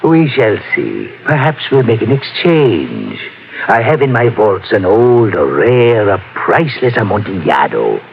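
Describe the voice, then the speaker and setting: An actor playing a mad, murderous wine collector in an old radio drama, with theatrical, ominous, drawn-out phrasing. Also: male voice, lowish voice, big pitch swings, gravelly, full character voice. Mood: covetous. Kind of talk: 1950s radio drama acting. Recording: echoey vault effect, muffled noisy old radio.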